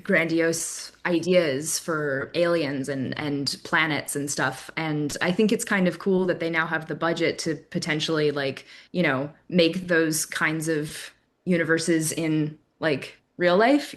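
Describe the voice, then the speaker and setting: Slightly low-voiced woman, informal and meandering. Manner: informal, meandering, seeking agreement. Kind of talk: casual chat.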